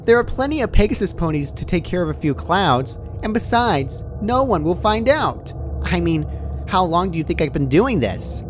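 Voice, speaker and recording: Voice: mid-range voice, very animated, moderate pace, background noise, voice pushed up, lightly acted. A performer voicing a cheerful but deranged female party pony in a story reading, bubbly and sweetly menacing. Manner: giggly, bubbly, casually chiding.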